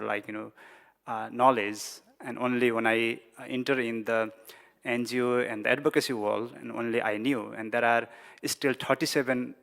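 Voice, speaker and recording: A man from Nepal speaking English with a Nepali accent as a panelist, halting, with many restarts and fillers. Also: medium pitch, gravelly, a bit bright. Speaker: panelist, migrant worker advocate. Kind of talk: panel discussion. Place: large conference hall. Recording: reverberant conference hall.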